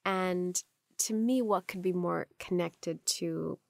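A woman from Pakistan whose first language is Urdu speaks with a low, gravelly voice. She answers interview questions earnestly, stringing phrases together in long flowing lists. The sound is dry and close. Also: slow pace, no room sound. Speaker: company founder, guest.

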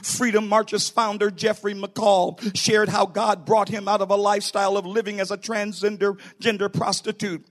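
A man's voice: high voice, level tone, moderate pace, hardly any pauses, fairly bright tone, soft background music. A man preaching a sermon as a pastor, emphatic and declarative, appealing directly to his listeners. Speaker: pastor, bishop preaching to own church.

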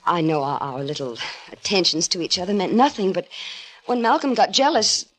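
A woman's voice: lowish voice, fairly quick, natural voice, poor audio. An actress playing a melodramatic, scheming woman in love, pleading theatrically in the style of 1950s radio drama. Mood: anxious, pleading. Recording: 1950 radio broadcast.

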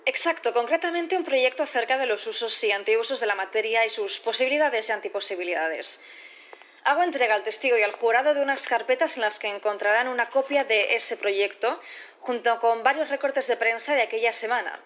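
An actress playing a formal, composed prosecutor who questions a witness methodically and courteously in a courtroom audio drama. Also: female voice, fairly high pitch, a little fast, fairly bright tone, lo-fi sound, natural voice.

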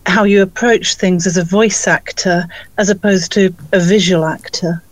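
A woman hosting a podcast, asking a guest questions in a measured, curious way. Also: fairly low pitch, fairly even intonation. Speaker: podcast host. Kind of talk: interview questions.

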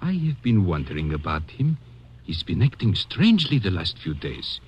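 An actor playing a discouraged former lieutenant of a sheik in a radio drama, speaking formally and earnestly. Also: male voice, low voice, huge pitch swings, a little hiss or hum. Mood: troubled. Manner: formal, measured, uncontracted speech. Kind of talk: 1950s radio drama acting.

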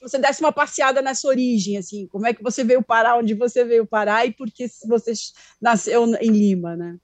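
A woman's voice: fairly high pitch, fairly quick, no echo. A woman co-hosting an interview, asking long, rambling questions with chatty hesitations. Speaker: co-host interviewer.

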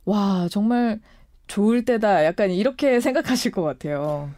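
A woman interviews a guest on the radio, asking questions with lots of movement in her pitch. Her tone is mellow, and the studio sounds dry. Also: expressive, normal speed, no echo. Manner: engaged, asking questions with frequent backchannels.

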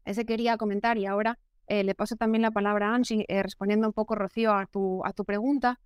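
Earnest woman, smooth clear voice. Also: mid-range voice, steady tone, dry recording, clean studio sound. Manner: measured, earnest, explanatory. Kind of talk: interview answer.

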